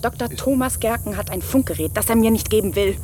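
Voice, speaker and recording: A woman's voice: quick pace, darkish tone, close to an everyday voice. An actress playing a sardonic, accusing woman in a German radio drama, speaking pointedly. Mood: annoyed. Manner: pointed, sarcastic. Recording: clear rumbling background noise.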